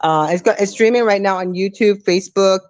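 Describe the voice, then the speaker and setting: A woman's voice: mid-range voice, brisk, a little mellow, slight background noise. A woman co-hosting a live audio chat show, chatty and a bit apologetic, talking into a phone. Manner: chatty, apologetic, with filler words. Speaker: podcast co-host. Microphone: Android phone.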